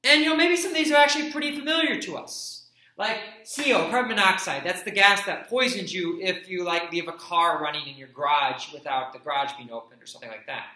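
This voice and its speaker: A man teaching a chemistry lecture, brisk and explanatory with casual asides. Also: sits high, sharp tone. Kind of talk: recorded classroom lecture. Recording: echoey room.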